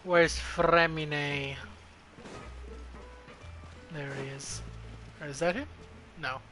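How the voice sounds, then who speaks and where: A woman's voice: low voice, fairly even intonation, slow, a bit bright. A woman giving casual, crude, joking commentary with theatrical asides while playing a game. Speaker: streamer reacting to a game. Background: game music.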